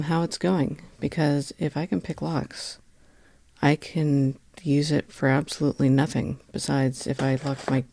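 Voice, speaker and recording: A woman chats casually as a podcast host, with plenty of creak in her voice. Her delivery is wry and self-deprecating. The sound is dry, with no room echo. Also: deep voice, dark tone. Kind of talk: casual chat introduction.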